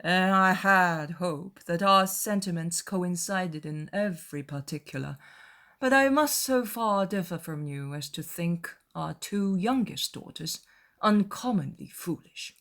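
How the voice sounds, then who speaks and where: A woman acting out a sardonic middle-aged country gentleman and father in a dramatized novel, measured, deliberate and wry. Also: on the low side. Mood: dry, sardonic.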